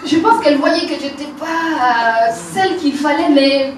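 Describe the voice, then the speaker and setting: Woman, 40s, Burkinabe French accent, animated storyteller with hesitations; slightly high voice, distant mic in a reverberant room. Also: a little slow, slight background noise. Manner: animated, laughing, many hesitations. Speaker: makeup artist telling her life story. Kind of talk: personal life story talk. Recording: roomy, distant mic, faint ambience.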